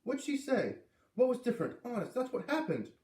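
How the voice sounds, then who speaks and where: A man's voice: extremely high pitch, very animated, a little fast, a little mellow, echoey room, recorded from a distance, pitched above the performer's own voice. A man voicing an earnest, indignant young girl from a storybook, insistent and protesting.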